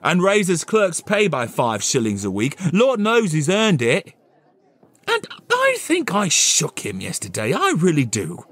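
A man playing a cheerful, good-natured young gentleman with a hearty, chuckling, animated manner in an audio play. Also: on the high side, unhurried, pitched above the performer's own voice, exaggerated voice. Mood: warm, amused. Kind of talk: theatrical audio play of a classic novel.

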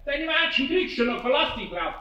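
Older Bavarian craftsman, good-natured grumbler, colloquial, bright forceful tone, children's radio play. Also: very high voice, sing-song, brisk, dull audio, heavily acted. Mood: angry. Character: grumpy but good-natured older male master craftsman.

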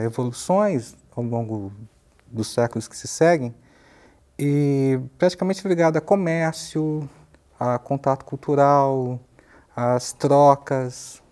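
Mellow Brazilian man, halting academic delivery. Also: a little high. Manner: measured, halting, searching for words. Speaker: university professor, interview guest. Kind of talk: explanatory academic interview. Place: TV studio. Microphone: lapel mic.

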